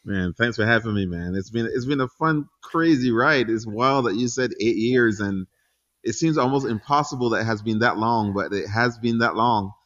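A man in his forties from Barbados, a guest chatting casually in an interview, relaxed, laughing easily and pausing with ums. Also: mid-range voice, highs cut off. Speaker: guest entrepreneur.

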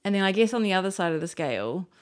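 A woman's voice: medium pitch, big pitch swings, rapid-fire. A woman from New Zealand with a New Zealand accent, a chef chatting as a podcast guest, enthusiastic and rambling with frequent restarts. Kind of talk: casual interview chat.

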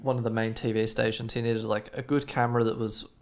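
A man's voice: medium pitch, level tone, fast. An Australian man co-hosting a podcast, rambling through stories in casual chat with lots of "like" fillers. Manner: rambling storyteller, frequent "like" fillers. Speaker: podcast co-host.

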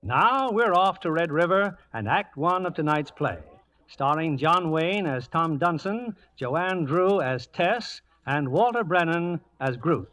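A man hosts an old-time radio theater show. His voice is a little high, and his delivery is scripted announcing in the 1940s manner. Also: expressive, measured pace. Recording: vintage 1940s radio broadcast.

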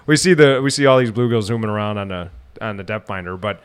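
A man's voice: lowish voice, animated, quick pace, clean tone. A man in his thirties hosting an outdoors podcast, sounding nasal from a head cold and telling long, rambling stories. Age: 30s. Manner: chatty storyteller, rambling with restarts. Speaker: podcast host. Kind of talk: casual chat.

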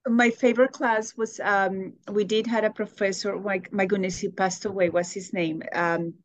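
A woman, answering interview questions warmly and pausing to search for words. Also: medium pitch, fairly even intonation. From Colombia. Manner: warm, searching for words, laughs often. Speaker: guest.

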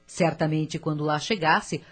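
A woman narrator of a scripted radio drama, husky and dramatic, telling the story with a moralizing turn. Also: deep voice, steady tone, a little slow, heavy background noise.

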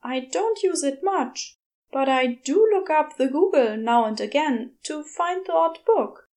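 A woman voicing a fussy, gentle, bookish male angel in a storybook reading, prim and polite. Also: high voice, expressive, unhurried, pitched above the performer's own voice. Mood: prim, obliging. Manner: prim, polite, old-fashioned wording.

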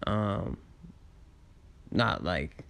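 A man with a raspy voice, tired late in the day, telling personal stories in a casual, rambling, halting and reflective way with laid-back slang. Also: low-pitched, expressive, fairly bright tone. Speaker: storyteller sharing personal memories.

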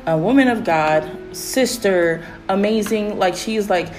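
A woman hosting a casual faith podcast, with a raspy voice and a rambling manner full of fillers. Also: medium pitch, measured pace, faint music behind, poor audio. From Pacific Islands. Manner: rambling, many fillers, animated when excited. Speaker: podcast host.

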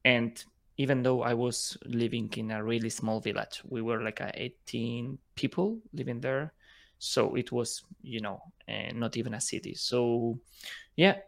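Halting man. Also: mid-range voice, creaky. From Ukraine. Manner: halting, repeats words as he thinks. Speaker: guest, software engineer. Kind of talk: casual interview chat.

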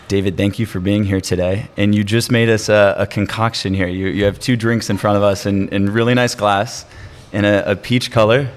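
A man hosting a podcast, introducing his guest and asking short, probing questions in an upbeat way. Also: on the low side. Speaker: podcast host.

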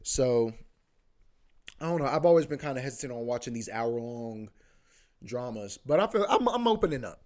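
Young male podcast host, rambling and profane, filler-heavy, mid-pitched. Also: expressive, normal speed, long pauses, a little mellow. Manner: loose and profane, heavy filler like "bro" and "you know what I mean"; repeats himself, often restarts. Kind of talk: casual rambling monologue.

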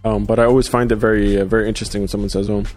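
A man's voice: on the low side, flat delivery, rapid-fire, a little mellow, soft background music. A man who grew up in Australia, hosting a casual livestream chat, talking loosely with plenty of fillers and restarts. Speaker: host.

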